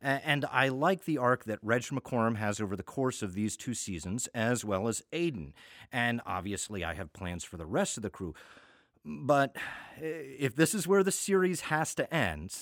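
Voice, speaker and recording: A man's voice: medium pitch, lively intonation, normal speed, no echo, clean studio sound. A man hosting a casual podcast chat, often restarting his phrases as he goes. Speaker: podcast host and audio drama writer.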